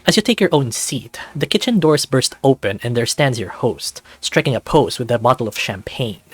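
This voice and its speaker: A man's voice: mid-range voice, lively intonation, moderate pace, slightly rough, no echo. A man reading scripted narration for a visual novel in the role of narrator. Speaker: narrator and voice actor. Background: music.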